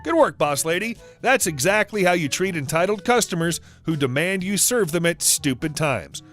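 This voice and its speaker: A man giving a scripted narration of online stories as the channel's narrator, reading in an even, steady way. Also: high voice, normal speed, faint music behind. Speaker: story narrator.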